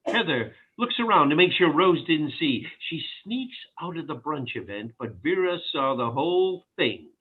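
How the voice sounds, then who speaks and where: A man's voice: on the high side, expressive, moderate pace, hardly any pauses, slightly rough, phone-quality sound. A man narrating the stage directions of a screenplay at a table read.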